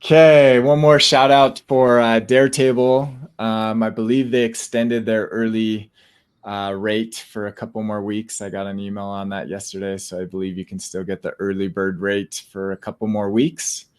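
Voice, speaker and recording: Low-voiced relaxed podcast host. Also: male voice, clean tone. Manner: upbeat shout-outs, relaxed with fillers. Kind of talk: casual podcast hosting.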